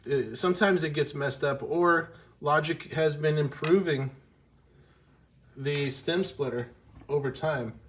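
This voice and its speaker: A man giving an informal music tutorial, thinking aloud and often interrupting himself as he explains. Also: on the high side. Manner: halting, self-interrupting, explanatory. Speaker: music teacher, video host.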